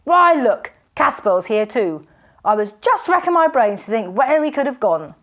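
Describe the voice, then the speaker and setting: Woman doing a jolly blustering male robber, loud, high and bouncy. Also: sing-song, a little fast. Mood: jovial. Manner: hearty laughing, blustering. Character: boisterous laughing male robber.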